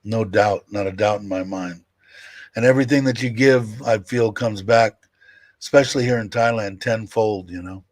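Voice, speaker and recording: Low-voiced man in his sixties, rambling. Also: normal speed, no room sound. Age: 60s. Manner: rambling, candid, with fillers and laughs. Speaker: livestream host. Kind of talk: casual livestream chat, reading viewer comments.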